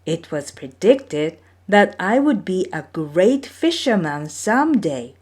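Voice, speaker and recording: A woman's voice: on the low side, sing-song, takes it slowly, darkish tone, exaggerated voice. A woman voicing an eager, boastful young boy trickster in a storybook tale, pleading and insistent.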